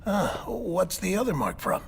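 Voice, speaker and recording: An actor playing a weary, earnest middle-aged male official in a video game cutscene, polite and secretive, with a deliberate, dramatic delivery. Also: sits high, sing-song, creaky, husky, exaggerated voice. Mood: careful, curious.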